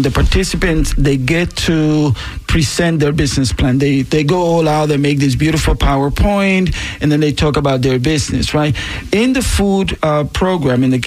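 A man with a Puerto Rican accent, explaining his organization's program in a radio interview, enthusiastic and full of fillers. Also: on the high side. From Puerto Rico. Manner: enthusiastic, explains with many fillers and self-corrections. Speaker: program director.

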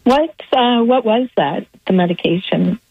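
A woman calling in to a radio show, hoarse and losing her voice, polite and thankful. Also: a little high, lively intonation, a little slow. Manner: polite and grateful. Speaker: caller. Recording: tinny phone line.

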